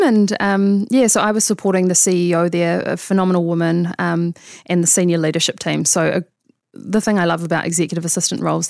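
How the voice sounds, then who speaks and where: A woman's voice: lowish voice, creaky, darkish tone, dry recording, studio quality. A woman in her thirties from New Zealand with a New Zealand accent, a coach talking casually and reflectively in an interview, with many fillers. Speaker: guest coach.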